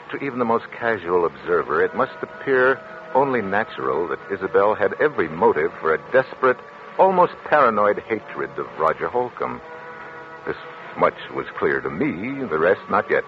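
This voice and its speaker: An actor playing a grave, protective physician husband with formal, measured delivery in 1940s radio style. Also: male voice, lowish voice. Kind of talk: 1940s radio acting, dramatic narration. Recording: muffled, hissy 1940s radio recording.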